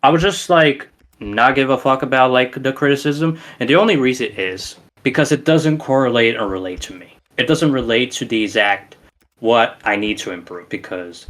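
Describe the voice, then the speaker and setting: A man's voice: mid-range voice, normal speed, a bit bright. A man with a Romanian accent, a musician guest on a casual podcast, talking in an emphatic, rambling way with many restarts.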